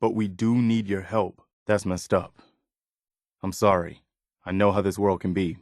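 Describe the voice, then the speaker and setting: A man playing an earnest, weary and idealistic militia officer in a post-apocalyptic video game, speaking in a measured way. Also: deep voice, fairly even intonation, darkish tone, dry recording, clean studio sound. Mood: guarded, pleading. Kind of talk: video game character dialogue.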